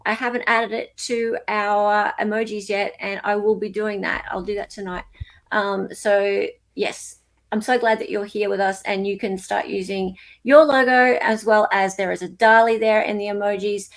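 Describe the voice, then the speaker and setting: A woman's voice: mid-range voice, steady tone, moderate pace. A woman with an Australian accent hosting a casual livestream, chatting to viewers in an effusive, grateful and encouraging way. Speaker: livestream host. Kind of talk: casual live chat with viewers.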